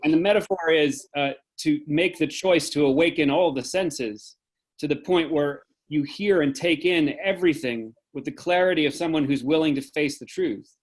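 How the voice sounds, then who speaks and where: A man facilitating an audience discussion over a video call, speaking in a warm, affirming way. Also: fairly high pitch, normal speed, low-quality recording. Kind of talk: moderating a discussion.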